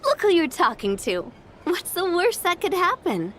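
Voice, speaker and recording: A woman's voice: very high-pitched, very animated, moderate pace, fairly bright tone, slight background noise, exaggerated voice. A woman voicing a confident, friendly young gang boss in a video game, playful and nonchalant. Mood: breezy, confident. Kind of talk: video game character acting. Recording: game music behind.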